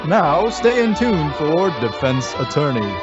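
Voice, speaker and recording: High-pitched, animated male old-time radio announcer. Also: a little slow. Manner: polished, emphatic salesmanship. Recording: dull lo-fi radio sound, music behind.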